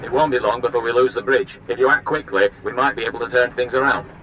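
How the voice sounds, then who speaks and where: A man's voice: medium pitch, fast talker, sharp tone, lightly acted. A man voicing a formal warship captain in a video game, clipped and commanding. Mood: urgent, commanding. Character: formal, urgent male imperial warship captain. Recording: faint noisy battle ambience.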